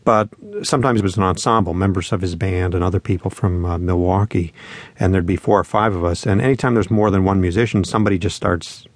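A man's voice: sits low, fairly even intonation, quick pace, no room sound. A man talking in a reflective, conversational storytelling way as a musician being interviewed, acting out quotes as he goes. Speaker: musician and spoken-word performer being interviewed.

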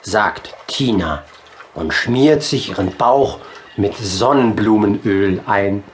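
A man narrating a children's audiobook in an expressive storytelling style. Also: lowish voice, slow, a little hiss or hum.

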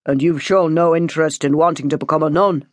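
A woman voicing a paternal male parish priest in an audiobook, measured, coaxing and moralising. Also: sits low, fairly even intonation, no room sound, close to an everyday voice. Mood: admonishing.

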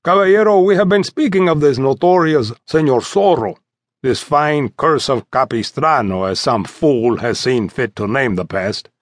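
A man voicing a boastful, loud and hearty soldier sergeant in an audiobook, blustering and full of exclamations. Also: mid-range voice, normal speed, dry recording, voice pushed up, lightly acted. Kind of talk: audiobook character voice.

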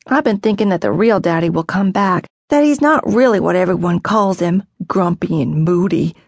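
A woman's voice: mid-range voice, gravelly, darkish tone, no echo. A woman voicing a young runaway girl who is earnest, stubborn and emphatic. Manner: pleading, emphatic.

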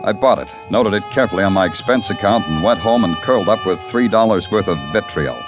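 Man as a dry, hard-boiled lieutenant narrating, low voice with lively inflection, music under. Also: fast, lo-fi sound, lightly acted. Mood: wry narration. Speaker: narrator and lead. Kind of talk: 1950s radio drama acting.

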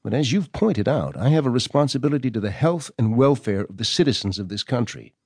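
An actor playing a stern, formal government doctor reading out his correspondence in a measured, condescending way for an audio drama. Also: medium pitch, animated, a little mellow, breathy edge, dry recording, clean studio sound. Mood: stern, reluctant.

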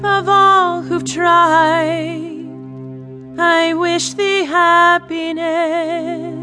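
Very high, slow young princess. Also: female voice, little pitch movement, over music, heavily acted. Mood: solemn blessing. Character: young princess, solemn bride-to-be. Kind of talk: slow chanted Shakespearean audio drama acting.

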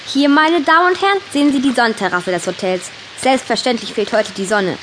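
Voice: medium pitch, moderate pace, fairly bright tone, a little hiss or hum, natural voice, poor audio. A performer playing a cheeky, sarcastic country girl in a children's audio drama, with a wry delivery. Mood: mock grand. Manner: wry, mock-formal at times.

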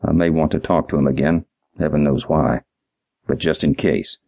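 A man voicing a weary, sarcastic police detective who questions deliberately and impatiently in a crime audiobook. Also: extremely low pitch, fairly even intonation, gravelly, dark tone, slightly rough, heavily acted.

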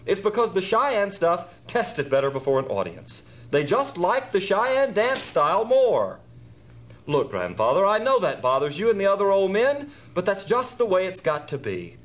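A man's voice: high voice, very animated, moderate pace. A man playing a harried, sarcastic theme park manager in an audio drama, talking with exasperated emphasis. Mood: pleading frustration.

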